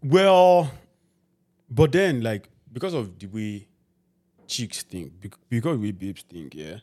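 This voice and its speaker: Nigerian man telling animated stories. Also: medium pitch, measured pace. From Nigeria (Ibadan, Lagos). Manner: animated storyteller, acts out reactions. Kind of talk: casual chat.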